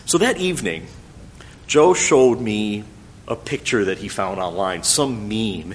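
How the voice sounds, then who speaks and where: A middle-aged man whose first language is French, telling a thoughtful, gently wry personal story in English. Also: fairly low pitch, a little hiss or hum.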